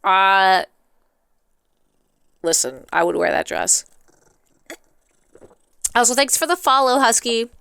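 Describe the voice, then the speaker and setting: A talkative woman hosts a casual livestream with a bright, forward voice that is strikingly clean and smooth. She rambles off on tangents and reacts expressively, with playful drama. The sound is close and dry. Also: mid-range voice, expressive, slow pace, pauses often, no echo. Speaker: live streamer. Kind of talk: casual chatty livestream commentary.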